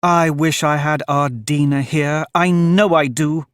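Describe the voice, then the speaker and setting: A man's voice: fairly high pitch, steady tone, a little slow, a bit bright, dry recording, studio quality. A man voicing a polite, curious and eager little girl in a storybook, earnest and humble in manner. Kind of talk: storybook character voice.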